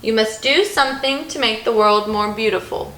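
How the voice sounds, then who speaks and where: A woman voicing a gentle, earnest elderly woman from a children's storybook. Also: fairly high pitch, normal speed, reverberant, faint music behind. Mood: kindly instructing.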